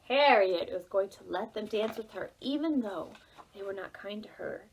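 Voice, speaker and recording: A woman telling a story to preschoolers as a children's librarian, in a sing-song manner with drawn-out words. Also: mid-range voice, expressive, slight background noise, rough recording.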